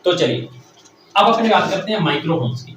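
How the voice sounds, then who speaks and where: A man with a fairly high voice lectures from across a very echoey room. He explains step by step as a technical teacher, often repeating himself and posing questions that he then answers. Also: lively intonation, fairly quick, a bit bright. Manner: repeats phrases, asks and answers own questions. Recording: very echoey room, distant mic, faint noise.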